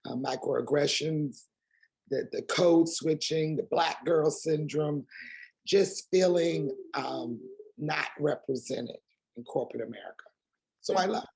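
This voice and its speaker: A woman with a raspy, gravelly voice and a husky edge, talking candidly as an interview guest. She speaks emphatically and drives her points home with pointed rhetorical questions. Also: low-pitched, a little slow, a little mellow, poor audio.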